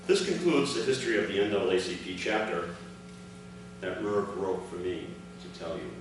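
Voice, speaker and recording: An older man reading a prepared speech aloud to a gathering in measured, separate phrases. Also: low voice. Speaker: speaker reading his father's account at an award ceremony. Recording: echoey, noisy hall recording from far off.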